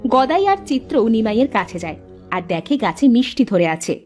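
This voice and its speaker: A woman's voice: fairly high pitch, sing-song, moderate pace, darkish tone, soft background music. A woman reading scripted narration for a children's storybook cartoon.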